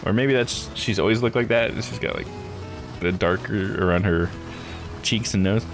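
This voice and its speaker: A man's voice: on the low side, normal speed, over music. A man streaming a game playthrough with casual commentary, musing aloud in an easygoing way.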